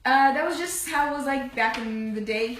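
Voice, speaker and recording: A woman's voice: high-pitched, normal speed, a little hiss or hum. Young woman, echoey room. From Houston, Texas, US. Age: 20s. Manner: relaxed, agreeable, many "yeah"s. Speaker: guest. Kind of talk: casual interview answers.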